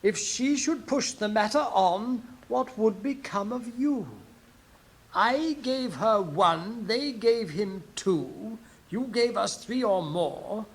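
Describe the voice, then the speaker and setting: Woman putting on a male rabbit herald, high-pitched, prim, fussy, deferential, careful delivery, storybook character. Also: animated, slow, rough recording. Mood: prim reciting. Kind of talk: dramatised storybook reading.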